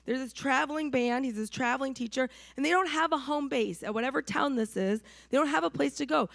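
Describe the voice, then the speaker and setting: A woman from New Hampshire preaching with a hoarse, slightly raised voice. She talks conversationally and keeps checking in with her listeners. The sound is close and dry, with no room around it. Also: high-pitched, a little fast, a bit bright, dry recording. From New Hampshire, USA. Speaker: pastor. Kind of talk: sermon.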